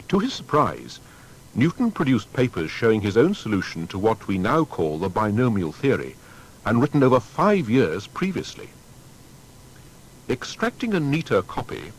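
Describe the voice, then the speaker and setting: A man narrating a scripted historical biography in a formal storytelling manner with deliberate emphasis. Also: lowish voice, husky, rough recording.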